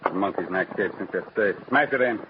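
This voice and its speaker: An actor playing a brazen, smugly menacing gang boss giving orders in a 1940s radio crime drama. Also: male voice, low voice, animated, fast talker. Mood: cool menace. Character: brazen male gang boss, cool and menacing bank robber. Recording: muffled, noisy old radio recording.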